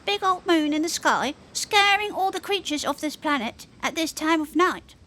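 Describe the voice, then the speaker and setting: A woman's voice: very high voice, animated, normal speed, bright, forceful tone, heavily acted. A woman playing a feisty, indignant young female character in an audio drama, scolding sarcastically, with noise behind her.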